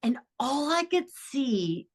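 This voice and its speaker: A woman guest on a podcast interview over a video call, speaking in a warm, reflective way about her own life. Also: high-pitched, big pitch swings, slow, breathy edge. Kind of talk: personal storytelling in interview. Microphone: video call recording.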